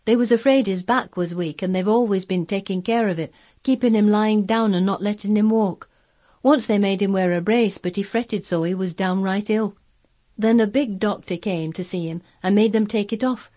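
Woman acting young Yorkshire maid, husky voice, anxious, exclaiming, gossipy, storybook dialect. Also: medium pitch, normal speed, warm tone, close to an everyday voice. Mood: confiding. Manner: exclaiming, dialect-speaking, fretful. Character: agitated young Yorkshire housemaid, fearful, gossipy.

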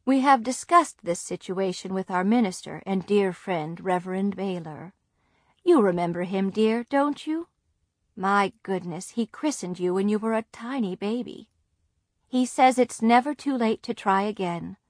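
A woman reading the letter of an older grandmother, slow and prim in manner. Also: mid-range voice, animated, dry recording, studio quality. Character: older grandmother writing a stiff, formal letter.

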